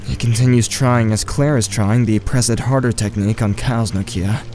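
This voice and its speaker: Man acting teenage male narrator, mid-pitched, measured, watchful, tentative, scripted audio drama, faint music. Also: steady tone, a little mellow, natural voice. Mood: measured, tense.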